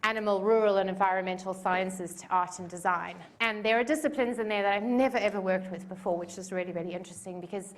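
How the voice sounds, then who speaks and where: A woman's voice: mid-range voice, fast, hardly any pauses. Woman, 40s, South African accent, chatty and self-deprecating conference speaker, mid-range voice. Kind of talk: conference talk. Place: conference room.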